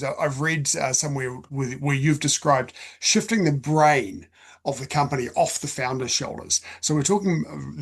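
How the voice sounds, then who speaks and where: A man hosting an interview, conversational and measured, setting out points and posing questions. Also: a little high, husky. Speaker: interview host.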